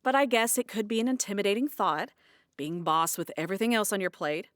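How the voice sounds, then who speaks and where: An actress playing a practical woman bookkeeper in an audio drama, explaining things frankly with gentle sarcasm. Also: medium pitch, a little fast, no room sound, studio quality, natural voice. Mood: supportive. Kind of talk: naturalistic audio drama dialogue.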